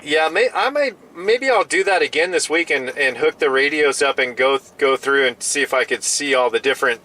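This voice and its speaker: A man's voice: fairly high pitch, expressive, fairly quick, sharp tone, a little hiss or hum, poor audio. A man chatting casually as a radio hobbyist, often restarting and repeating his phrases.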